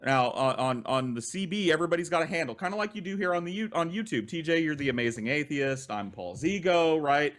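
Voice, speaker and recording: A man's voice: a little high, expressive, quick pace, few pauses, a bit bright. A man telling comic personal stories as a podcast co-host, with theatrical emphasis and casual swearing.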